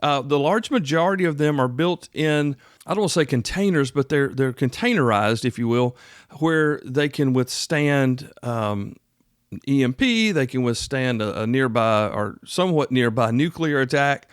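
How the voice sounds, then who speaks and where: A man's voice: mid-range voice, lively intonation, moderate pace, clean tone, no room sound, clean studio sound. A man hosting a podcast solo, talking in a casual, folksy, rambling way full of asides. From South Carolina, United States. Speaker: podcast host. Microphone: close podcast microphone.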